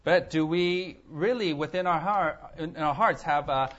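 A man interpreting a sermon phrase by phrase, often halting as he searches for his words. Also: a little high, a bit bright. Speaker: church interpreter. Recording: dull, lo-fi audio.

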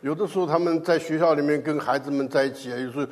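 A man preaching a sermon with a loud voice, speaking in an animated, emphatic way. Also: on the high side, very fast. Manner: animated, emphatic, laughs at times. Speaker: pastor. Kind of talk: sermon in Mandarin with live interpretation. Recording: echoey hall.

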